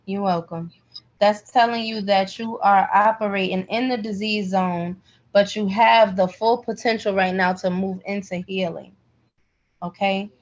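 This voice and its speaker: A woman's voice: medium pitch, steady tone, unhurried. Woman teaching energy healing class, blunt emphatic everyday talk, bit lo-fi recording. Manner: direct, emphatic, colloquial. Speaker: class instructor, pendulum energy healer. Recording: slightly dull, lo-fi call audio.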